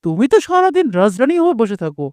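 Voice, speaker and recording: Casting: male actor as bossy cartoon moneylender, high but mellow, scolding and greedy. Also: sing-song, fast, no echo, clean studio sound. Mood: grumbling, bossy. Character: greedy domineering village moneylender, a married man. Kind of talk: Bengali cartoon voice acting.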